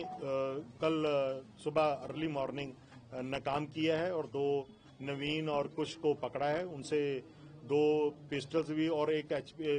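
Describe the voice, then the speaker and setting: A male police officer gives a press briefing in a somewhat high-pitched voice with a Punjabi accent. He explains details haltingly, mixing in English terms as he goes. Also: a little mellow, slight background noise.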